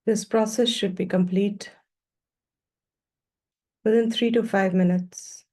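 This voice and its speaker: A woman teaching guided meditation, giving slow, calm instructions with long, measured pauses. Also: mid-range voice.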